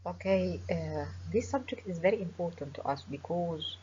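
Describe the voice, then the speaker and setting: A middle-aged woman with an Egyptian accent gives a formal webinar lecture as a professor, speaking in measured phrases with frequent pauses and fillers. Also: fairly low pitch, dark tone. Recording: dull lo-fi webinar audio with faint hiss.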